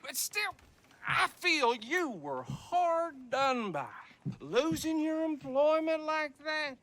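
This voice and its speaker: An actor playing a tipsy, folksy small-town sheriff with a Southern US accent and a rambling way of talking. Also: male voice, very high voice, sing-song, takes it slowly, a bit bright, no echo, exaggerated voice. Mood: folksy, courteous.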